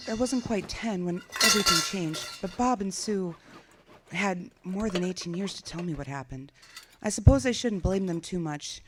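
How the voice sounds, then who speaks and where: A woman's voice: lowish voice, lively intonation, moderate pace, natural voice. Woman playing a lonely survivor over noisy background. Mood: reflective, weary. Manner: reflective, plain-spoken. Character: lonely, self-reliant adult female survivor of an apocalypse. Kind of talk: radio drama monologue.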